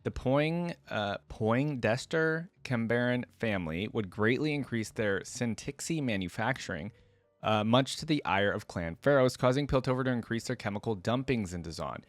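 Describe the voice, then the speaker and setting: A man with an average-pitched voice hosts a casual reaction video. He speaks informally and directly to his viewers, moving between loose commentary and reading text aloud. The sound is close and dry, with hardly any room in it. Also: expressive, normal speed, no echo. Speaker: video host, gaming fan reactor.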